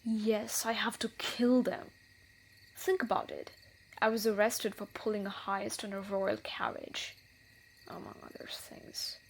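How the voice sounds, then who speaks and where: A woman's voice: mid-range voice, fairly even intonation, a little slow, vocal fry, slight background noise, low-quality recording. A woman playing a wily, menacing thief in a scripted fantasy audio roleplay, with a theatrical delivery. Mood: hushed, plotting.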